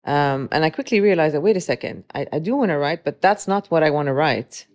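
A woman in her forties, a guest in an interview, talking reflectively with many pauses and restarts. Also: low-pitched, expressive, darkish tone. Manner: reflective, with frequent hesitations and restarts. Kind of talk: conversational interview.

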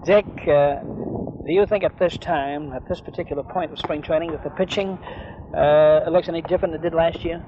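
A man's voice: fairly high pitch, fairly even intonation, normal speed, highs cut off. A man hosting a sports radio interview from around 1970 in a formal, courteous announcer manner, on a noisy old recording. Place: on location at a spring training base.

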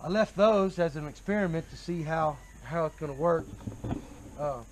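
A man's voice: fairly high pitch, moderate pace, a little hiss or hum, poor audio. An American man chatting casually and explaining outdoors on a farm, often repeating his phrases, picked up by a nearby action camera. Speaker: homesteader showing his farm. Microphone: picked up by a nearby handheld action camera.

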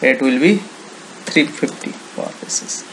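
A man with an Indian accent demonstrating software in an informal screen-recorded tutorial, with non-native English phrasing and frequent repetition. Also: mid-range voice, slow pace. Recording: noisy home-made screen recording.

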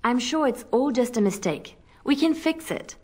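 A woman's voice: a little high, expressive, moderate pace, a little mellow, husky. A woman playing an earnest, principled young female spy in a video game, with a hesitant, pleading manner. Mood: worried.

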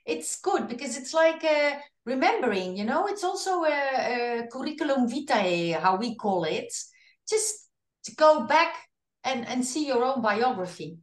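A woman with a Dutch accent, a singer in her fifties, chatting in an animated way over a video call from her home with an earphone mic, often restarting her sentences. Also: sits high, measured pace, reverberant. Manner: animated, gestures with hands while talking, frequent restarts. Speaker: singer being interviewed. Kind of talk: casual video call interview. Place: home room. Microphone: video call, earphone headset.

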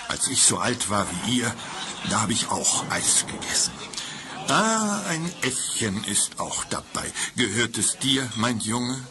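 An actor playing an older, avuncular country pastor in a children's radio play, speaking in an unctuously friendly, patronising way. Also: male voice, medium pitch, big pitch swings, slow. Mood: genial. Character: genial, polite, avuncular male country pastor. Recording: old audio drama with background noise.

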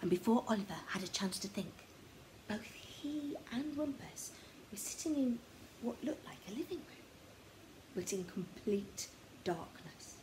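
A woman reading a children's story as narrator, expressive and dramatic, sometimes dropping to a hush. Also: on the high side, unhurried, a little hiss or hum, low-quality recording. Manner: expressive, dramatic, hushed at times. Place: room at home, indoors.

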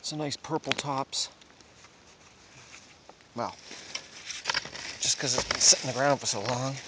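A man's voice: mid-range voice, a little slow. A middle-aged man giving casual, terse running commentary in short fragments while digging outdoors, picked up by a camera at varying distance. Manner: terse, fragmented remarks while physically working. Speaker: amateur bottle digger. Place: outdoors in woods. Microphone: handheld or propped camera at varying distance. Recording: noisy outdoor camera audio with clicks.

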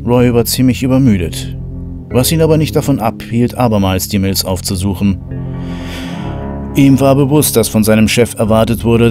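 A man's voice: lowish voice, natural voice. A man narrating a horror audio drama as a composed storyteller, in scripted prose.

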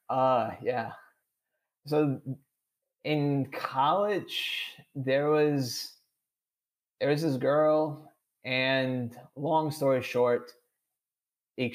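A young man with a strong stutter, answering podcast interview questions candidly, with many repeated sounds and long strings of "uh". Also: mid-range voice. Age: young adult. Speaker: podcast guest.

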